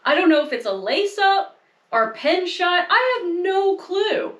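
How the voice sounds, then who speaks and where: A young woman co-hosting a casual chat, self-mocking and quick to laugh. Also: very high-pitched, sing-song, reverberant, dull audio. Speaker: co-host.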